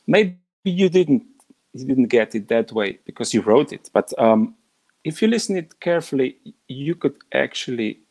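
Gravelly-voiced man haltingly searching for words. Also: medium pitch, a little mellow, rough recording. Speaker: guest singer. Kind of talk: video call conversation. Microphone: laptop webcam on a video call.

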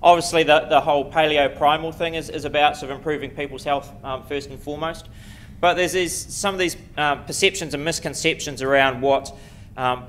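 Quick New Zealand man giving a talk. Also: medium pitch, a bit bright, slight background noise. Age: middle-aged. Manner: quick, self-deprecating, many ums and restarts. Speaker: conference speaker. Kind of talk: conference lecture. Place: lecture room before a seated audience. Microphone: podium mic at a lectern.

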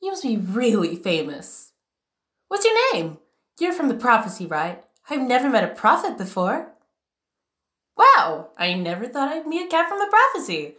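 Casting: excitable young cat for a cartoon, played by a woman. High, leaping pitch, wide-eyed, eager, gushing; roomy echo. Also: moderate pace, full character voice. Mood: excited, awestruck. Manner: gushing, eager, breathless questioning.

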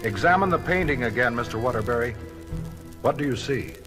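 A man's voice: medium pitch, animated, brisk, close to an everyday voice. An actor playing a grave, authoritative male superhero crimefighter in a record radio drama, speaking formally and in clipped phrases. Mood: serious, formal. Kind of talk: radio-drama acting on a children's story record. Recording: vintage story record with dramatic music.

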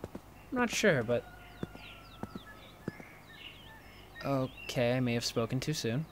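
A man playing an awkward, sheepish young retail worker in an audio drama, with a halting, casual, wry delivery. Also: medium pitch, very animated, a little hiss or hum, close to an everyday voice. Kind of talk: naturalistic scripted audio drama dialogue.

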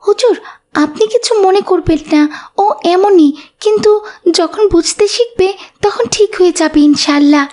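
Cartoon casting: kind, forgiving small girl, voiced by a woman, very high and earnest. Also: normal speed, darkish tone. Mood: polite, earnest. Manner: polite, earnest. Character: kind, devout little schoolgirl, forgiving and polite. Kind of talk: Islamic cartoon dub acting.

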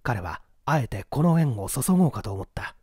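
A man's voice: mid-range voice, sing-song, moderate pace, dark tone, breathy edge, dry recording, clean studio sound. A man narrating a literary mystery novel as a dramatic audiobook reading.

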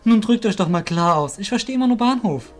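A man plays a nervous young student in a German audio play. His voice sits high, agitated and questioning, as if the world makes no sense to him. Music plays underneath. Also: lively intonation, fairly quick. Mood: bewildered. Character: Sekki, anxious, bewildered young male student.

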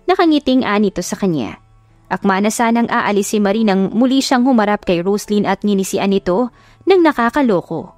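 A woman's voice: mid-range voice, lively intonation, moderate pace, darkish tone, no echo. A woman narrator reading a scripted dramatic audiobook story, with music behind her.

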